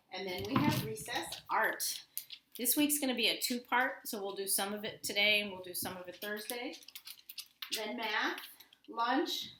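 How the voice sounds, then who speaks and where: Echoey schoolteacher woman talking to young children. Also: mid-range voice. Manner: simple, expressive explaining aimed at young children. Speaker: elementary school teacher. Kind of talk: informal video lesson and read-aloud for children. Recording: roomy echo, faint background noise.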